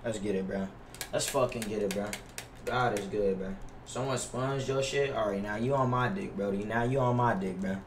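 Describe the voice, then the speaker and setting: A man's voice: on the low side, normal speed, distant mic. A young man chatting casually on a live game stream, slangy and profane, often complaining. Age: young adult. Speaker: livestreamer playing a basketball video game. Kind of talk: casual live stream chat and gameplay reaction. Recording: roomy echo, off-mic, noisy with keyboard clicks.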